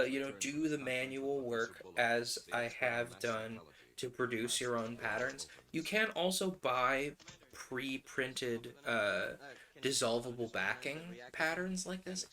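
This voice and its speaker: Male livestream host, bright tone, casual and rambling, wry humor, chatting while sewing. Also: medium pitch, animated, slow pace. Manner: wry, rambling, playful asides.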